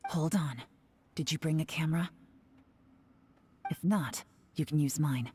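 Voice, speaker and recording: An actress playing a composed, wry woman in a video game, with a hushed, sly manner. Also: low-pitched, measured pace, slightly rough, exaggerated voice. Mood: cool, amused.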